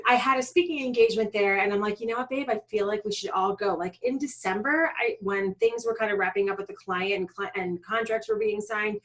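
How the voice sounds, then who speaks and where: A middle-aged woman who is a podcast guest, telling personal anecdotes in an animated way. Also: medium pitch, a little fast, few pauses, low-quality recording. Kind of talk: casual interview chat.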